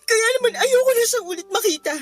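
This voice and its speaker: A woman's voice: very high voice, animated, quick pace. A woman playing a devoted but hurt young granddaughter in a Tagalog radio drama, speaking with earnest emotion. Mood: hurt, bitter.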